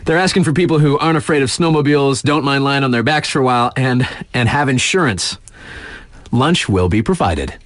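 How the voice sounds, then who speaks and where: Animated, sardonic radio DJ played by a man. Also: medium pitch, fairly quick, no echo, studio quality, lightly acted. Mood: upbeat, sardonic. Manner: wry, sarcastic, slangy and conversational. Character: laid-back, sardonic young male mountain-town radio DJ. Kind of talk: scripted local radio news patter.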